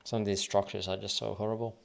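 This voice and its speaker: A deep-voiced man from Finland with a light Finnish accent and a gravelly, creaky edge. He talks casually through trading charts on a livestream. He thinks aloud and mutters wave counts under his breath. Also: flat delivery, quick pace.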